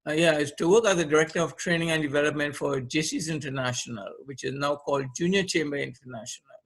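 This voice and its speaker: A man's voice: on the high side, fast talker. A middle-aged or older man with a Trinidadian accent, speaking conversationally as an interview guest about his own experience. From Trinidad and Tobago. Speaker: podcast guest, leadership trainer. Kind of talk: conversational interview, recounting career.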